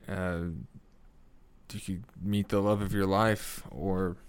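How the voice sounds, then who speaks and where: A young man with a deep voice hosting a casual solo podcast, laid-back and swearing often, chuckling at his own stories. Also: flat delivery, slow, smooth. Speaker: podcast host. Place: small home room with foam on the walls. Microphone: studio mic at a desk.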